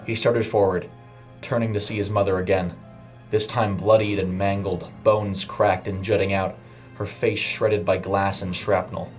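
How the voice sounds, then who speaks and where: A man's voice: low voice, level tone, moderate pace, poor audio. A man narrating a scripted horror short story in a dramatic storytelling style. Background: music under the voice.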